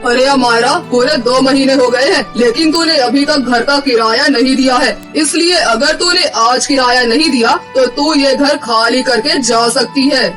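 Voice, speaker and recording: A man's voice: very high voice, normal speed, hardly any pauses. A man voicing a middle-aged landlord uncle in a cartoon story, speaking in an emphatic, familiar elder's manner. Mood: stern, angry. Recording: distant voice over faint music.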